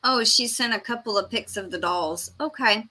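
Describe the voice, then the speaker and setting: A woman's voice: fairly high pitch, moderate pace, pushed and bright. A woman from Texas, hosting a livestream and casually reading comments aloud. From Texas, United States. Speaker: livestream host.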